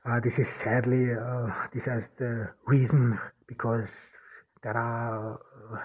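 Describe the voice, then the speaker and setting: A man whose first language is German speaks in a flat, husky voice, stopping and restarting his sentences over and over. His level tone barely rises or falls as he searches for words. The sound is thin and tinny, like a phone line. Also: mid-range voice. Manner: halting, many restarts and repeated words. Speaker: podcast host. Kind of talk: solo podcast monologue.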